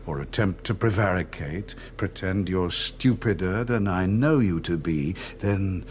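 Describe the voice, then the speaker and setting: A middle-aged man acting a cold, controlled interrogator in a radio drama, measured, didactic and condescending. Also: sits low, a little mellow, slight background noise. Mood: cold, threatening. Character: cold, controlled party interrogator and torturer, patronising and menacing.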